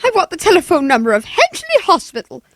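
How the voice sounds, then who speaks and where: Very high-pitched, fretful invalid wife, imperious, melodramatic, swooping intonation, dry 1940s-style radio drama. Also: female voice, huge pitch swings, fast talker, a bit bright, no echo, heavily acted. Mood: fretful, urgent. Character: nervous, demanding, self-pitying invalid wife, increasingly hysterical. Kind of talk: 1940s radio play acting.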